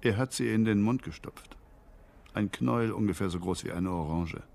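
An actor playing a cynical, smug newspaperman with a gravelly, husky voice and a theatrical, mocking delivery in a radio play. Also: fairly low pitch, normal speed, a little mellow. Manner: sardonic, theatrical.

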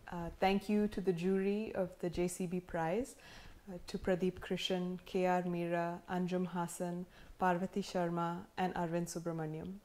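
Woman in her thirties, heavily pregnant, sincere and measured, gently humorous, recorded at home. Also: on the low side. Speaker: prize winner giving acceptance speech. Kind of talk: recorded acceptance speech. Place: living room at home, indoors. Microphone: camera in front, seated framing.

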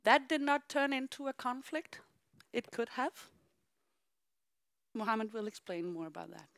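Woman keynote speaker, lively storytelling, higher voice, swinging pitch, dry close sound from a handheld mic on a big theater stage. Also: a little slow, creaky, dry recording. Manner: animated, acts out voices in quotes. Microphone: handheld microphone.

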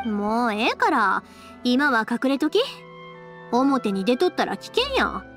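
A warm-hearted, dependable young woman for an anime game. Her voice is high and swings freely, in a breezy Kansai dialect. Faint music plays behind her. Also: takes it slowly, heavily acted. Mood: reassuring, determined. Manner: lively, colloquial dialect.

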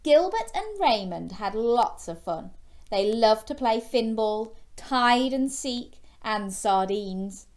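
A young woman reading a children's storybook in a gentle, expressive way, chatting with young listeners through questions. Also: on the high side, takes it slowly. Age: young adult. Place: small bedroom, indoors.

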